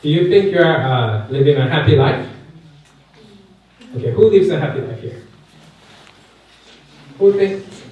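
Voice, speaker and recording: A man's voice: on the high side, lively intonation, unhurried, pauses often, highs cut off. A man in his thirties from Korea with a Korean accent, giving a chatty personal talk to a youth camp and asking the crowd questions. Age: 30s. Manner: chatty, asks the audience questions, many fillers. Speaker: guest speaker at a youth camp. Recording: echoey hall, mic far off, faint noise.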